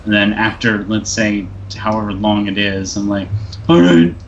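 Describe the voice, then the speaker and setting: A man's voice: on the low side, expressive, moderate pace. A man playing a tabletop role-playing game, talking casually with hesitant, stop-start phrasing. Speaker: tabletop role-play player. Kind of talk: casual improvised game chat. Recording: dull lo-fi mic with background noise.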